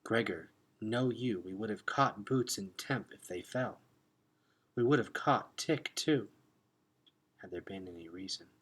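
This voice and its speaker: Storybook role: solemn young warrior girl, formal, guarded, husky and lowish played by a male reader. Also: a bit bright. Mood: sad, gentle. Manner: formal, measured speech without contractions.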